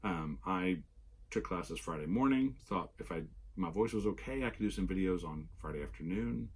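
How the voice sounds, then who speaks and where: A man, a little hoarse after losing his voice, chatting casually in a rambling way with many fillers as the host of his knitting vlog. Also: low voice, animated, moderate pace, darkish tone, a little hiss or hum.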